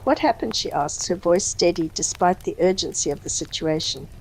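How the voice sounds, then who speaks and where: A woman's voice: lowish voice, steady tone, moderate pace, slight background noise, low-quality recording. A woman reading a scripted story as its narrator.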